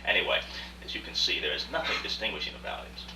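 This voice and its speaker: Cultured male businessman, played by an actor, lowish, highly animated voice, emphatic radio-play storyteller. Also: quick pace. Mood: reminiscing. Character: cultured, proud businessman art collector telling his tale. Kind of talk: stage radio drama acting. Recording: roomy far-off rehearsal recording, faint hiss.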